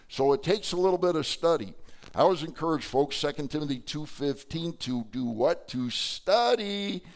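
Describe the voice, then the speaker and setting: Pastor guy w a bit of a hoarse voice, smooth mid pitch, chatty preaching w lots of uhs. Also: darkish tone. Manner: conversational preaching with many fillers. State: slightly hoarse. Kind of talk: sermon. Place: church sanctuary.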